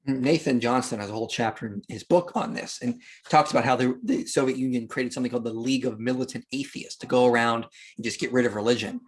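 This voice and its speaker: A man's voice: medium pitch, fast talker, slightly rough. Emphatic, opinionated man on a podcast. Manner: emphatic, opinionated, dismissive of opposing claims. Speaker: podcast guest. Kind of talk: casual argumentative explanation of history.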